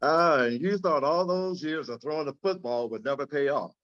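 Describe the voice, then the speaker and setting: A man's voice: fairly high pitch, moderate pace, fairly bright tone, lightly acted. A man reading the part of a cocky, bantering ladies' man who teases his buddy in a script read. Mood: teasing, breezy. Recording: dull lo-fi sound.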